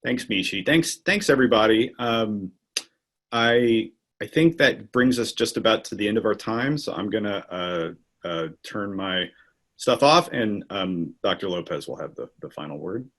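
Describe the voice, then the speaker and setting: A man moderator handing over to close an online panel, speaking haltingly with fillers over a video call. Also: fairly low pitch, lively intonation, a bit bright. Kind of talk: wrapping up a panel.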